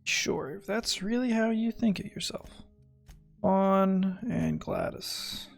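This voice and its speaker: A man's voice: high-pitched, slow pace, gravelly. A man streaming a video game, commenting casually with wry sarcasm and reading things aloud to himself. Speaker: gamer streaming a playthrough. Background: game music.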